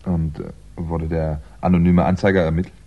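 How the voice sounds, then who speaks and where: An actor playing a polite, brooding, middle-aged crime writer in a 1960s German radio play, speaking in a measured, dryly understated way. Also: male voice, low voice, flat delivery. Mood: probing, puzzled. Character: hunted male crime novelist, polite, brooding, wry. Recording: dull 1960s radio recording.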